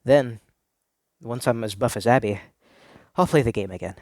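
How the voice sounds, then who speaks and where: A man narrates a first-person story with earnest drama, as if confessing. His voice sits a little low and the recording is dry and close. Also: moderate pace, darkish tone, dry recording. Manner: theatrical, earnest confessional delivery.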